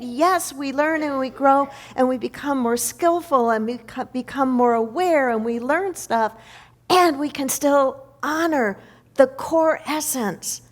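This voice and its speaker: Woman minister, slightly high voice, gentle sermon with deliberate emphatic pauses. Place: church sanctuary.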